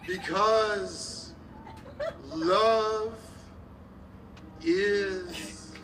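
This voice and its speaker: Very slow, hammy Elizabethan heartthrob. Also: male voice, high voice. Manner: declamatory, long dramatic pauses. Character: pompous, lovelorn Elizabethan movie heartthrob, melodramatically noble. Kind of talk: hammy period-film melodrama in radio play. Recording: stage radio play, distant mic, music behind.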